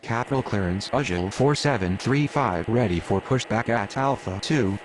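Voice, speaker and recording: A man's voice: fairly low pitch, normal speed. A man speaking clipped, procedural air traffic radio phrases in a game.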